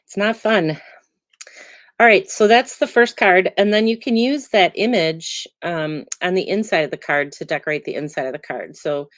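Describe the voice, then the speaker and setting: A middle-aged woman demonstrating card making, chatty and relaxed with many ums, still a little congested from a cold. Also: low-pitched, no room sound. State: congested, getting over a cold. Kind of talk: casual crafting tutorial.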